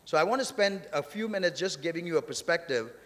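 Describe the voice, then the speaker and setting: A man's voice: on the high side, lively intonation, fast, fairly bright tone. A man giving a conference speech as session chair, conversational yet emphatic, repeating phrases for emphasis. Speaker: session chair and speaker at a technology summit. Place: large indoor conference hall, on stage. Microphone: podium gooseneck microphones. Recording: reverberant hall sound through podium microphone.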